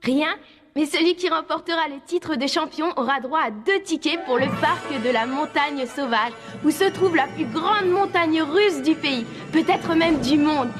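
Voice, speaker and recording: High-pitched, bossy schoolgirl. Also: unhurried, faint music behind. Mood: eager. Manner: pushy, emphatic. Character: bossy, competitive, excitable schoolgirl. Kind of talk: French cartoon dub acting.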